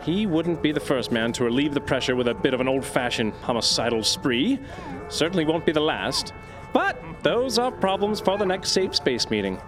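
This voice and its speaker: Obsessed earnest scientist dictating his notes, grand formal wording, highish voice that swoops all over, music underneath. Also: very animated, moderate pace. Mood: brisk, detached. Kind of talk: audio drama monologue as journal narration.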